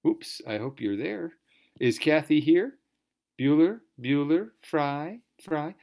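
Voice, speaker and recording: A man's voice: on the high side, animated, slow, darkish tone. A man hosting an online story slam off the cuff, with wordy, playful mock-grand commentary. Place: online video event. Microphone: video call.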